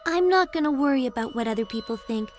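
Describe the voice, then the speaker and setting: Very high, earnest young girl. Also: expressive, fast, soft background music. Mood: earnest, resolved. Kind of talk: English-language video game voice acting.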